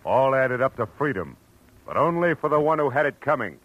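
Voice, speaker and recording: An actor playing a wry, tough private detective in a 1940s radio drama, terse and clipped. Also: male voice, mid-range voice, very animated, fairly quick. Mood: grim, dramatic. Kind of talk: 1940s radio acting, first-person noir narration. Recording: dull old radio recording, music behind.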